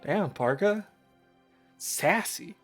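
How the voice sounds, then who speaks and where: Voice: mid-range voice, lively intonation, very slow, gravelly, slightly rough, soft background music. A streamer reading a visual novel aloud, narrating in a casual storytelling style.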